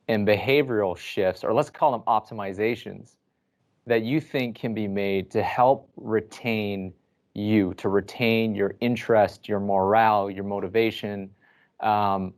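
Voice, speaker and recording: A man moderating a panel on a video call, upbeat and affirming. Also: on the low side. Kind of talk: virtual panel discussion.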